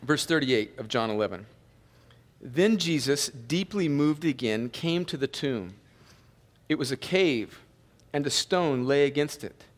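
A man's voice: medium pitch, animated, a little slow. Male pastor preaching in a reverberant hall. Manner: conversational preaching that rises to emphatic peaks. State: has a slight cold, sniffling. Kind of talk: sermon.

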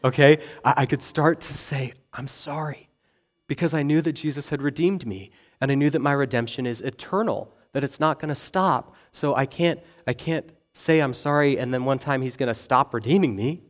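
A young adult man preaches to his church in a loose, conversational way, poking fun at himself and often checking in with the room. His voice sits at an ordinary middle pitch. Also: moderate pace. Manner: conversational, self-deprecating, frequent "okay?" and "right?". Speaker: pastor. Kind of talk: sermon.

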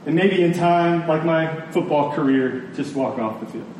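Male pastor, slightly high voice, earnest conversational sermon delivery with emphatic pauses, in a very echoey room. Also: a little mellow. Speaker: pastor preaching. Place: large room, preaching to a congregation. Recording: echoey room, distant mic, faint noise.

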